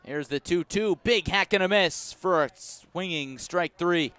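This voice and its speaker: An American man doing live radio baseball play-by-play, measured in description and lifting his voice for the action. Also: sits high, smooth, no room sound. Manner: measured description rising to excited calls. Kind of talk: live radio sports commentary.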